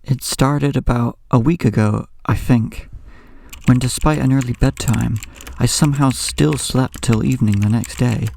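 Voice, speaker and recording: A young man acting as a haunted, anxious narrator of a horror audio drama, telling his nightmares in a brooding, ornate, measured way. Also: medium pitch, a little mellow, slight background noise, close to an everyday voice. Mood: uneasy, reflective. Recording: music bed under the voice. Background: clear background music.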